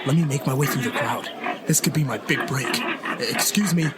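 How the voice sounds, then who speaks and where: A self-important field reporter, played by an actor, stammers through a live report in a comic radio play. The delivery is flustered and urgent, with very loud farmyard ambience behind it. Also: mid-range voice, normal speed, heavy background noise, close to an everyday voice. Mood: tense, hushed. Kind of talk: old-time radio reenactment, live news report parody. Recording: loud farm animal and battle ambience.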